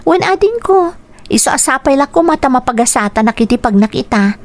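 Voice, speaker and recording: A woman's voice: high-pitched, animated, brisk. A woman acting in a radio drama as an earnest, dutiful eldest daughter, speaking with an Ilocano accent. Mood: hopeful, earnest.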